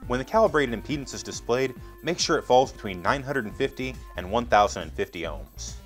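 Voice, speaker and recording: A man's voice: mid-range voice, lively intonation, fairly quick, fairly bright tone, faint music behind. A man in his thirties giving scripted, methodical step-by-step instructions as a product demonstrator. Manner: methodical, explanatory.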